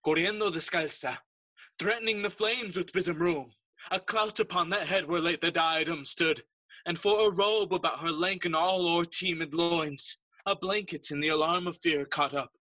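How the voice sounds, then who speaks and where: A man's voice: fairly high pitch, moderate pace, bright, forceful tone, no room sound. A man playing an impassioned travelling tragedian, declaiming bilingual classical verse grandly for an audio play. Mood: tragic, impassioned. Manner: grand, theatrical. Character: impassioned travelling tragic player reciting an epic.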